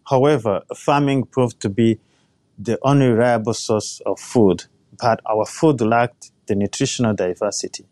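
A man, a crop scientist giving an earnest, measured talk about his own life. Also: mid-range voice, a little mellow, dry recording. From Rwanda. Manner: earnest, measured, personal storytelling. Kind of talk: prepared talk.

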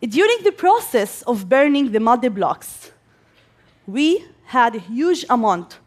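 A young woman from Gaza giving a prepared speech to an audience, speaking as an English speaker with pauses between phrases. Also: high voice. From Gaza, Palestine. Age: young adult.

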